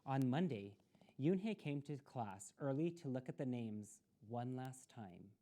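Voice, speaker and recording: A woman reads a children's picture book aloud in an expressive, deliberate way. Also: a bass voice. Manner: expressive, deliberate delivery with asides to listeners. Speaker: storytime reader.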